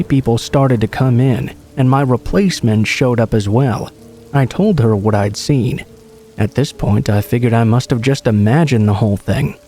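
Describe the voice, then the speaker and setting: A man reading true scary stories aloud as a scripted narration, in a steady, low-key delivery. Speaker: horror story narrator.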